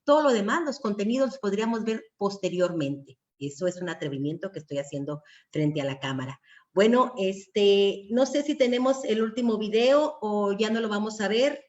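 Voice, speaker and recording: A woman's voice: medium pitch, animated, normal speed, darkish tone. A woman with a Mexican accent and a mid-range voice moderates a panel discussion online. The audio is dull and lo-fi, like a video call. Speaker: secondary school teacher.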